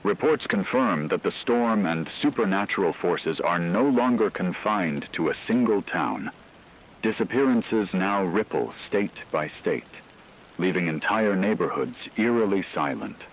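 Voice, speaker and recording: A man playing a grave radio reporter, narrating a supernatural catastrophe in a solemn, deliberate, scripted news style. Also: fairly low pitch, expressive, a little hiss or hum, low-quality recording. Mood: grave, ominous.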